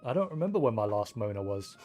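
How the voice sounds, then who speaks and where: A man's voice: fairly low pitch, big pitch swings, fast, dark tone, faint music behind. A man giving casual gameplay commentary as a streamer, rambling and thinking aloud in an understated way. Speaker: game streamer.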